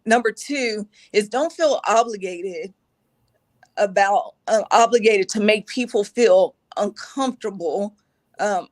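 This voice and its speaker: A middle-aged woman with a raspy voice, speaking earnestly and reflectively as an interview guest, with many fillers. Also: mid-range voice. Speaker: guest, executive communication advisor. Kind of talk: conversational interview.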